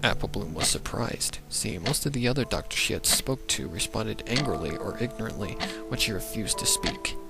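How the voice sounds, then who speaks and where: A man's voice: fairly low pitch, animated, normal speed, creaky, few pauses. A man reading a scripted dramatic story as its narrator. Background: loud background music.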